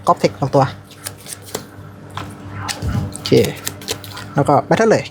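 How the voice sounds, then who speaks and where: A woman's voice: slow pace, music in the background. A woman playing a card game at a shop table, calling out her moves and damage numbers in short bursts. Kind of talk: casual play-by-play at a card table. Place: comic shop gaming table.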